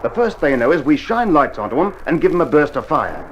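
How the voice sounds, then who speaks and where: A man's voice: a little high, sing-song, brisk, muffled recording. An actor playing a sceptical, argumentative Australian soldier teasing his mate in an old radio war play. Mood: reasoning. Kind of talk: 1960s military radio play acting. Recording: slightly noisy old vinyl recording.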